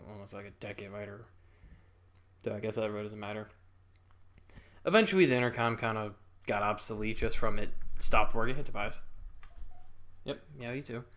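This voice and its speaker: A man's voice: medium pitch, a bit bright. A young man casually telling a childhood story, rambling and interrupting himself with chuckles.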